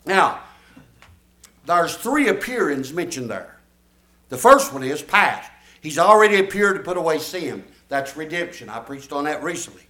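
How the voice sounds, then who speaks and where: Older preacher in an echoey church. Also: male voice, a little high, big pitch swings, moderate pace, pushed and bright. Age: 70s. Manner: folksy, emphatic preaching with audience call-and-response. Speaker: pastor. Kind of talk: sermon.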